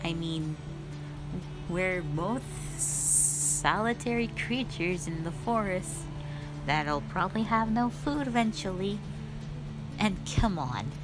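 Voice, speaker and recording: A woman's voice: fairly low pitch, expressive, rough recording. Sassy, chatty lamia girl, voiced by a woman over music. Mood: eager, persuading. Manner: chatty, halting, blunt teasing with eager bursts. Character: sassy, cute female forest lamia, playful half-snake monster. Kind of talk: roleplay audio addressed to the listener. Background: background music.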